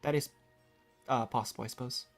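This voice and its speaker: A woman's voice: extremely low pitch, steady tone, fairly quick. A woman doing casual live game commentary, musing and rambling as she plays. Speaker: game streamer. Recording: faint game music behind. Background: video game music.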